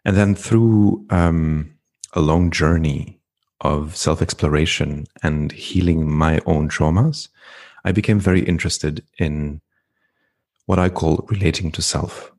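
A middle-aged man from Flanders, speaking as a podcast guest in a reflective, unhurried way with frequent ums. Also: very deep voice, fairly even intonation, a little mellow. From Belgium (Flanders). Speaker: guest, entrepreneur and coach. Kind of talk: podcast interview conversation.

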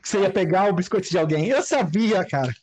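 A man co-hosting a casual comedy chat in a relaxed, wry way. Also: sits high, fast talker, a crowd in the background. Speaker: co-host.

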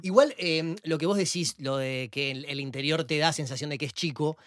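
A man's voice: fairly high pitch, brisk, dry recording, studio quality. A man from Montevideo with a Uruguayan accent, hosting a casual podcast chat and reading out listener messages playfully. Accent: Uruguayan Spanish. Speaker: podcast host.

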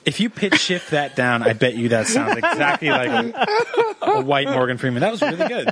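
A man's voice: a little high, wildly swooping pitch, moderate pace, over a noisy crowd. A man co-hosting a podcast, trading crude, profane jokes in casual comedic banter among friends. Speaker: podcast co-host.

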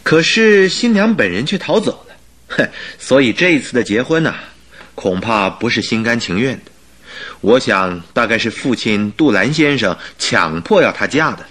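A man's voice: medium pitch, lively intonation, normal speed, fairly bright tone. An actor playing a curious, good-natured newlywed male doctor in a radio drama, with a conversational, inquisitive manner. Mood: amused, musing.

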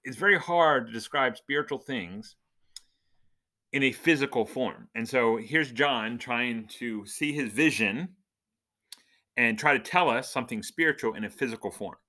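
Bright, forceful man teaching the Bible informally. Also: mid-range voice, moderate pace. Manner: conversational, explanatory, asks questions and answers them. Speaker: podcast host giving Bible teaching. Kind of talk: informal Bible lesson with scripture reading.

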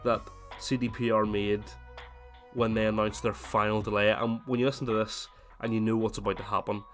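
A man with a cold hosting his gaming podcast, chatting casually and then reading a scripted essay in steady narration. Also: fairly low pitch, fairly quick, soft background music. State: has a cold, voice slightly worn. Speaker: podcast host.

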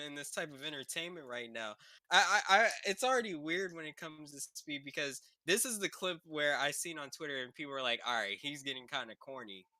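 A man's voice: fairly high pitch, pushed and bright, no room sound. A man co-hosting a casual podcast, reacting to clips with loose, restarting talk and laughter. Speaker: podcast co-host. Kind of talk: casual chat.

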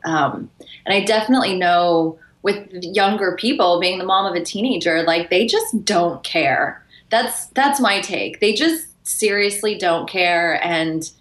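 A woman's voice: fairly low pitch, fairly bright tone. A middle-aged woman, a mother of a teenager, speaking earnestly and emphatically as a podcast panel guest. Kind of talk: casual podcast panel talk. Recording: echoey room.

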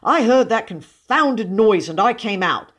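A woman's voice: on the high side, expressive, unhurried, a bit bright, voice pushed up. A woman voicing an angry middle-aged father in a story, spluttering with compressed fury. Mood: furious. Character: irate, blustering middle-aged father roused from sleep.

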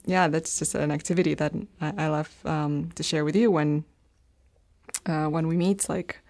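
A woman hosting a relaxed interview, with a very low voice and a gravelly fry running through it. She is encouraging and friendly and laughs often as she draws her guest out. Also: moderate pace. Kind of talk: casual conversational interview.

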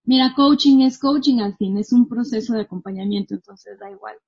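High breathy lady in her 30s, chatty coach, laughs a lot, kinda muffled audio. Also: brisk. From northwestern Mexico. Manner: chatty, animated, laughs easily. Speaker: guest, marketing coach. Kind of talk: casual interview chat. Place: her home.

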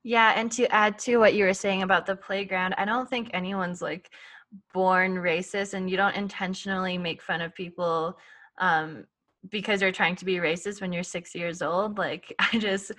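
Bright-voiced earnest Canadian woman. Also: mid-range voice, steady tone, moderate pace. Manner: earnest, thoughtful, uses "like" often. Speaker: podcast co-host. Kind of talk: casual conversation.

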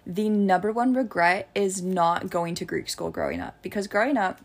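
A woman's voice: medium pitch, moderate pace, gravelly. A young American woman chatting casually as a co-host, informal and peppering her sentences with "like". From United States. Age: young adult. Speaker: podcast co-host. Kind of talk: casual chat.

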